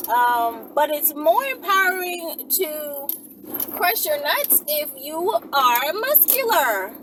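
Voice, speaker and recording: A woman's voice: sits high, sing-song, slow. Woman, casual Q&A host, blunt sassy delivery, faint noise and room ambience behind. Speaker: host answering viewer questions. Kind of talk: casual question-and-answer chat.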